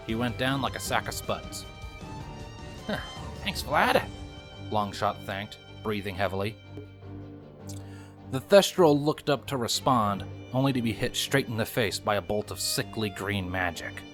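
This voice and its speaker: Man narrating a fantasy story over music. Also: mid-range voice. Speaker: narrator, fan fiction reader. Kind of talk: scripted audiobook narration.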